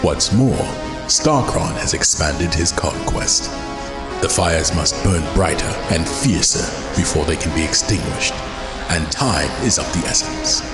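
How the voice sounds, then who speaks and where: A man's voice: sits low, lively intonation, unhurried. A man playing a solemn, wise mentor who narrates an adventure serial in a portentous, dramatic manner. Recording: echoey voice under loud music.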